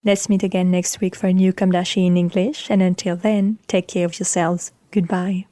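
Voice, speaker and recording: A woman podcast host reading scripted narration about architecture in a measured, formal way. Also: fairly low pitch, flat delivery, dark tone.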